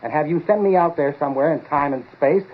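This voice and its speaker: An actor playing an earnest, excitable lab researcher, urgent and insistent, in a 1940s radio drama style. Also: male voice, fairly high pitch, quick pace, natural voice. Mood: earnest excitement. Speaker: supporting actor. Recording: tinny, muffled-detail 1940s radio recording.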